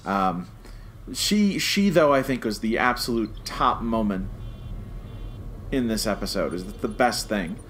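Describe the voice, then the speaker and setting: A man's voice: fairly low pitch, animated, measured pace, fairly bright tone, a little hiss or hum. A man co-hosting a podcast, giving his opinions in a casual, conversational review chat. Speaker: podcast co-host.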